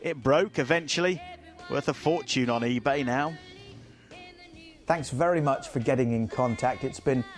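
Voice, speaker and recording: A man's voice: medium pitch, moderate pace, soft background music. A middle-aged British man, a TV reporter, reading scripted feature narration.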